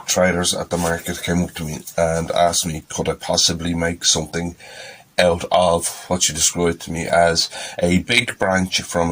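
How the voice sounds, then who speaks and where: A man's voice: low-pitched, a bit bright, low-quality recording. An Irish man with a head cold, talking plainly as he works in his woodturning workshop. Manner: plain-spoken, matter-of-fact. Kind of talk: workshop narration. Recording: workshop noise behind voice.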